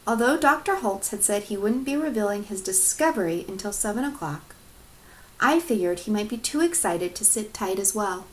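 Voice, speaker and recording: A woman teacher reading a storybook aloud to her class in an expressive narrating style. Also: mid-range voice, rough recording.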